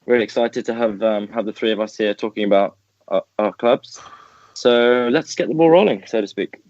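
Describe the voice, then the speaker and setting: A man from England with a lowish voice, co-hosting a casual podcast in a relaxed, easygoing way. The sound is dry and close, and the audio is dull and lo-fi. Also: normal speed, dry recording. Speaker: podcast co-host.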